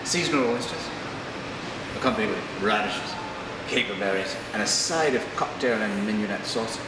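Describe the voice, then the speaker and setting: An actor in his forties playing a formal, theatrical waiter in a comedy sketch, reciting grandly with pompous, deliberate delivery. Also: lowish voice, lightly acted. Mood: grandly dramatic. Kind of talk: dramatic reading in a comedy sketch. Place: large restaurant dining room by seaside windows. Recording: roomy, noisy restaurant, distant mic.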